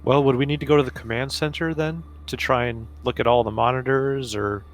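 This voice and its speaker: A man's voice: mid-range voice, steady tone, a little fast. A man talking casually as a player in a tabletop roleplaying game, thinking through the next move. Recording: faint background noise.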